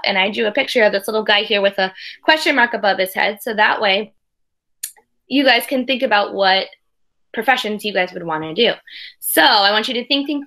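A woman's voice: a little high, pushed and bright. A woman in her thirties reading a picture book aloud as a teacher, expressively, with simple asides for children. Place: small room at home.

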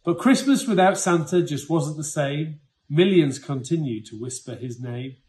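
A man's voice: on the high side, animated, normal speed, darkish tone. A man in his forties reading a rhyming story aloud. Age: 40s. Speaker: poem reader. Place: indoor room with brick wall.

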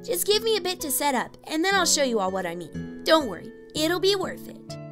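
A woman playing a brash, excitable, sporty teenage girl in a cartoon-style audio story. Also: sits high, fairly quick, music in the background. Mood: eager, confident. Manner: eager, cocky, casual.

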